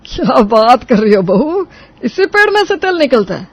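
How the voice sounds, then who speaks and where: Very high-voiced stubborn old father-in-law acted by a man. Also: sing-song, moderate pace, no echo, full character voice. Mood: curious, trusting. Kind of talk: animated Hindi moral story dialogue.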